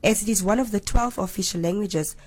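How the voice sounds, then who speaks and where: A woman with a South African accent delivering a scripted news report in a steady, formal way. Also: medium pitch, a little fast.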